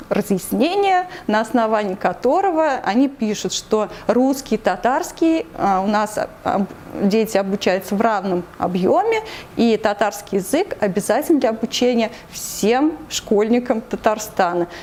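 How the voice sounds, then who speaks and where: A woman's voice: on the high side, lively intonation, a little slow, a little mellow, a little hiss or hum. A woman from Tatarstan, an interview guest, explaining her view earnestly with frequent hesitations and restarts. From Tatarstan, Russia. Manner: hesitant, many fillers and restarts. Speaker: interview guest, anti-corruption committee member.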